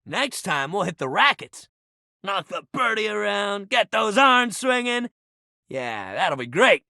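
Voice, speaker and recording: Animated bro-ish sports coach shuttlecock. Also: male voice, sits high, measured pace, gravelly, no room sound, studio quality, full character voice. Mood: playful, hyped. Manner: slangy, bro-ish, encouraging, swearing casually. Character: living shuttlecock, upbeat laid-back young male sports coach. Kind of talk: video game character voice acting.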